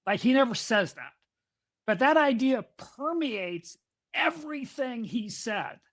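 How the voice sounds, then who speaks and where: A woman's voice: a little high, animated, a little slow. A woman co-hosting a podcast in casual discussion, explaining ideas at length and drifting into side topics. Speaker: podcast co-host.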